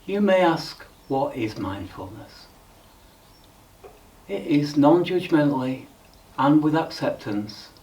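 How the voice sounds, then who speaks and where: A man leading a guided meditation in a calm, measured, instructional way with frequent pauses. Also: medium pitch, recorded from a distance, low-quality recording.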